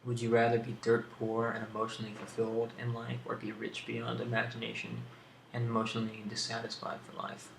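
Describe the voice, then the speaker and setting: A man talks through questions in a casual, rambling ASMR style. His voice stays fairly flat and is thick with vocal fry. The recording has a roomy echo and clear background noise. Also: medium pitch, a little fast. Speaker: video creator answering tag questions.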